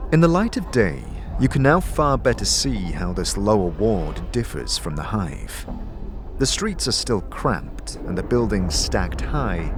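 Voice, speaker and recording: A man narrating a fantasy audio drama as game master, in a measured, descriptive, scripted style. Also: fairly low pitch. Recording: noisy ambient sound bed behind voice.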